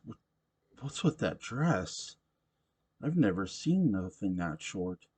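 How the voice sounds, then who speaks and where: A man's voice: low-pitched, sing-song, slow pace, darkish tone, slightly rough, heavily acted. Young male bartender, read by a man, blunt and confused, storybook reading. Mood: indignant.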